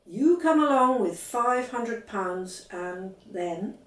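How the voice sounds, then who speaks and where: A woman's voice: on the high side, lively intonation, slow, far from the mic, pitched above the performer's own voice. A woman reader playing a practical, dry-humoured father in a children's story, somewhat dismissive. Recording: roomy, set back from the mic.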